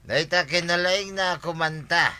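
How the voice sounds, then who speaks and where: Chummy drinking buddy, piercingly bright. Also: male voice, fairly high pitch, normal speed, smooth. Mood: chummy, teasing. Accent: Ilocano. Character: chummy male drinking buddy, proud and teasing. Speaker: actor in a radio comedy drama. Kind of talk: Ilocano radio comedy drama acting.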